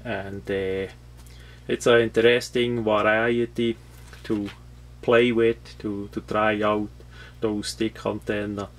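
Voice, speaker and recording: A non-native English-speaking man from Switzerland with a Swiss accent, explaining a product off the cuff in a halting, matter-of-fact, rambling way. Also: fairly low pitch, little pitch movement. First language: not English. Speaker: product reviewer. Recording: clear background noise with hum.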